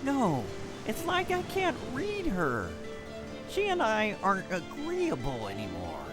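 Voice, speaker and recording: Guy doing a dim but eager pirate sidekick, voice swoops everywhere, slow, music under it. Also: sits high, wildly swooping pitch, full character voice. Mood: puzzled. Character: earnest, dim, dutiful pirate first mate. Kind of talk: comedy skit acting.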